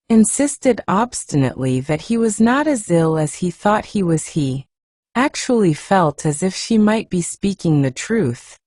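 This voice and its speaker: A woman reading a classic children's novel aloud in a soft, gentle audiobook style. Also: no echo, studio quality. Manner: gentle, acts dialogue with raised pitch. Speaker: narrator.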